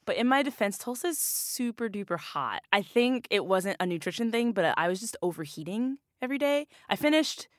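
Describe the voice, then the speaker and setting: A young woman, a bike racer, talking casually as a guest in an interview, naturally loud and laughing often. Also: medium pitch, animated, brisk, hardly any pauses, no room sound, clean studio sound.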